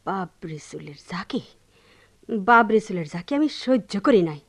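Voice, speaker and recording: A woman's voice: medium pitch, animated, normal speed, husky. An actress playing an unhinged, brooding village woman in a radio drama, rambling and repeating herself in a rural Bangladeshi Bengali accent. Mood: brooding, wistful. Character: unhinged, brooding village woman gloating over old enmity.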